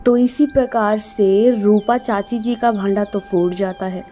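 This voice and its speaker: A woman narrating a storybook tale in a plain, unhurried way. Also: medium pitch, steady tone, mellow, faint music behind.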